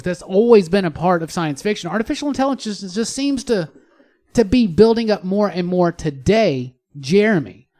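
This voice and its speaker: A man with a notably high voice moderates a podcast discussion. He talks casually and inquisitively, framing questions for the others and checking that they agree. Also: mellow. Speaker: podcast host.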